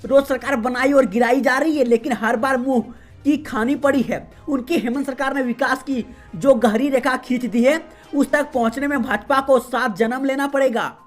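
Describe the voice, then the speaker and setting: A woman reading the day's regional news as a host, in a steady, formal newsreader delivery. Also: high-pitched, moderate pace. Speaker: news reader. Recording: faint music behind voice.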